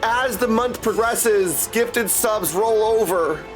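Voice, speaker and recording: A man's voice: high voice, takes it slowly, music in the background, rough recording. A man hosting a casual live stream, chatting to his viewers in an effusive, gushing way and calling them dudes. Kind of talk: casual livestream chat to viewers.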